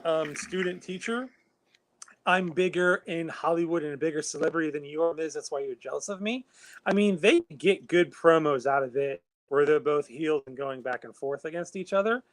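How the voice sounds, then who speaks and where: Male co-host, slightly high pitch, measured analytical delivery, dry sound. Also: no echo, rough recording. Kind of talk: casual chat. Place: home, video call.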